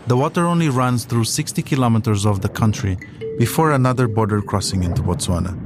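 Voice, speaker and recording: A man's voice: medium pitch, fast talker, music in the background. A man narrating a scripted documentary podcast as its storyteller.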